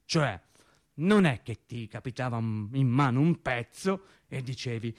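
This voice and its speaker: A man's voice: mid-range voice, expressive, measured pace, close to an everyday voice. A man playing an earnest, rambling working-class factory worker in a theatrical radio monologue, talking colloquially and emphatically, repeating and interrupting himself.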